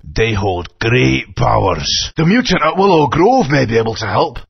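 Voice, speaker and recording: An actor playing a gruff male dinosaur warrior barking urgent commands, in dry lo-fi game audio. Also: mid-range voice, sing-song, normal speed, no room sound, exaggerated voice.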